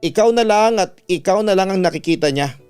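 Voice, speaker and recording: A man's voice: high voice, expressive, a little fast, a little mellow, clean tone, faint music behind, voice pushed up. A Filipino man voicing a resentful young older sister with an irritated, accusing manner in a narrated radio drama story. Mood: bitter, aggrieved. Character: resentful, jealous young older sister, bitter and sharp-tongued.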